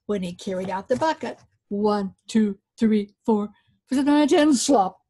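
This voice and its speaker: An older woman storyteller reading a folktale aloud to children, animated and inviting listeners to join in. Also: mid-range voice, normal speed, slightly rough. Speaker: author and storyteller. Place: small book-lined room indoors.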